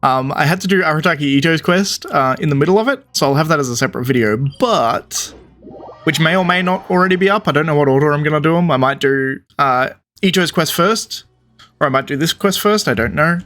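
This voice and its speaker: A man's voice: on the high side, a little fast, a bit bright, soft background music. A man hosting a game playthrough, chatting casually in a rambling, laid-back way. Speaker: gaming video host.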